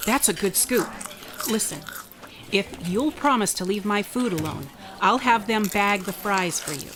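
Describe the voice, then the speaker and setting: A woman's voice: medium pitch, animated, a little slow, lightly acted. An actress plays a plain-spoken woman detective in a modern radio drama. Her mid-range voice is informal and wry. There is faint noise and ambience around her. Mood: exasperated, sardonic. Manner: sardonic, informal. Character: wry, plain-spoken female private investigator. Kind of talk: contemporary radio drama acting.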